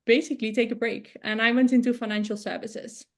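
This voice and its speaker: Higher-voiced Dutch woman, chatty interview guest. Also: little pitch movement, fairly quick. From Netherlands. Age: 30s. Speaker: interview guest, business founder. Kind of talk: casual conversational interview.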